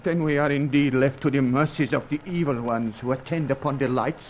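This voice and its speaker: An actor playing a superstitious, frightened and deferential sidekick in a radio serial, pleading in florid formal phrases. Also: male voice, mid-range voice, steady tone, a little fast, natural voice. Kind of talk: radio adventure serial acting. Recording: muffled, noisy ambient background.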